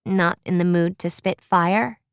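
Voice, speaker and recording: A woman voicing a moody teenage sorceress girl in a storybook reading, flat and subdued. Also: lowish voice, measured pace, no room sound, studio quality. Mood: glum. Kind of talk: storybook read-aloud.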